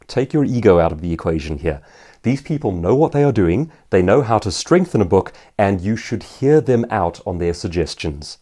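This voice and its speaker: A man's voice: fairly low pitch, darkish tone. A man giving an informal instructional talk as an author and speaker, articulate and emphatic in his phrasing. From South Africa. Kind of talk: informal instructional talk answering viewer questions.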